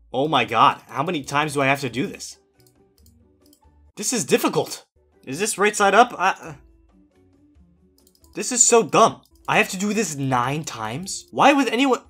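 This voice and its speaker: A young man giving energetic, exasperated gameplay commentary as a gaming YouTuber, with loud outbursts. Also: on the high side, breathy edge.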